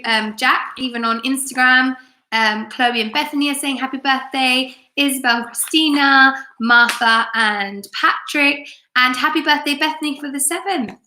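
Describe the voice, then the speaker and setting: A woman teacher hosting a chatty live story time for children, expressive and encouraging as she speaks directly to her viewers. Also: sits high. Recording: echoey room.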